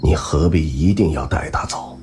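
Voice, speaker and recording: An actor playing a soft-spoken, quietly menacing middle-aged spymaster in a wheelchair in an audio drama. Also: male voice, very deep voice, normal speed, faint music behind. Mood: calm, mocking.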